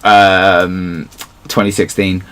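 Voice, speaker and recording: A man's voice: sits low, flat delivery, very slow, fairly bright tone, clean tone. A man hosting a casual pop culture podcast, talking like a keen fan with friends. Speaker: podcast host.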